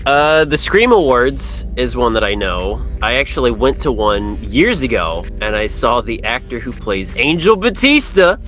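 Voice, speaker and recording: A man's voice: mid-range voice, sing-song, a little slow, hardly any pauses, fairly bright tone, soft background music, poor audio. A man co-hosting a podcast in casual film chat. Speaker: podcast co-host.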